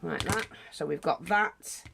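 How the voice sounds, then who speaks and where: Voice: medium pitch, unhurried, vocal fry, background noise. British crafting tutor, stuffy nose, rambling friendly delivery. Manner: chatty, rambling with asides. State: blocked nose. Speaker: craft teacher.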